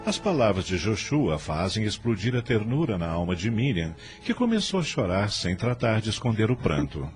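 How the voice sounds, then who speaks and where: A Brazilian man narrates a radio story. His voice is fairly low with richly varied intonation, delivering scripted drama over faint music. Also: big pitch swings, normal speed, hardly any pauses.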